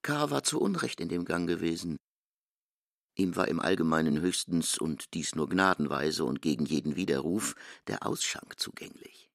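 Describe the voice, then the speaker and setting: Deep, gravelly, expressive male narrator. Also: moderate pace, no echo, clean studio sound. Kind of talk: scripted literary narration in a radio play.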